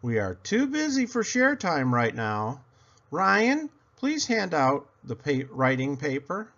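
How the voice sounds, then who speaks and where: A man's voice: fairly high pitch, huge pitch swings, takes it slowly, fairly bright tone, lo-fi sound, full character voice. A man voicing a strict, unsmiling woman first-grade teacher in a storybook, firm and instructive.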